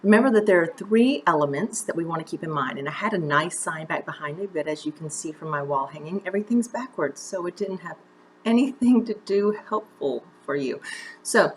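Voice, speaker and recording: Low-pitched woman teaching, noisy recording. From United States. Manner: conversational, encouraging step-by-step instruction with direct questions. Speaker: drama teacher. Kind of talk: instructional video mini-lesson.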